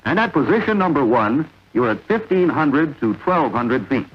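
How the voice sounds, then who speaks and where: A man narrating a vintage military training film in a measured, authoritative, instructional way. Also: fairly high pitch, big pitch swings, breathy edge. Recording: dull, lo-fi vintage film soundtrack.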